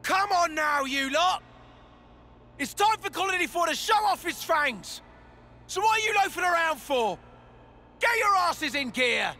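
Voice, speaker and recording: An actor playing a brash, bossy male commander who shouts gruff, crude orders at his crew in a video game. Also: extremely high pitch, lively intonation, unhurried, long pauses, full character voice. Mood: barking orders. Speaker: colony commander.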